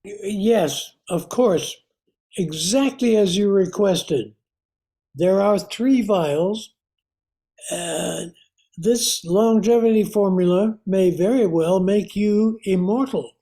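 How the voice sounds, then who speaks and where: Slow, mellow, hesitant scientist played by a man. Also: sits high. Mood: nervous. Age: older. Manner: hesitant, formal, mild-mannered. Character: anxious, apologetic male scientist, husband and uncle. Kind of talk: amateur old-time radio drama acting.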